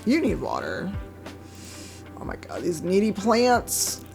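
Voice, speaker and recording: A woman streaming a game, chatting casually with a hoarse, croaky voice and a wry, chatty manner. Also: medium pitch, wildly swooping pitch, takes it slowly, a little mellow, faint music behind. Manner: chatty, wry, reads chat aloud. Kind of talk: casual live-stream chat while gaming.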